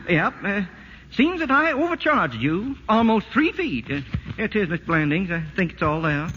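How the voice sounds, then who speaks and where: Country tradesman: folksy, laconic, high lilting voice, vintage radio comedy, echoey and dull. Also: sing-song, normal speed, fairly bright tone. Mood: kindly. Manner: homespun, laconic. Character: folksy, slow-spoken, honest country tradesman. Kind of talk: 1940s radio comedy acting. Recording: muffled, noisy, echoey radio broadcast.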